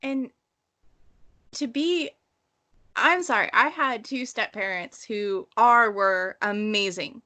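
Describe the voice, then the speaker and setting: A woman's voice: fairly high pitch, takes it slowly, long pauses, sharp tone. A woman in her thirties co-hosting a casual book discussion, speaking in an emphatic, exasperated way. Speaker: podcast co-host; romance writer.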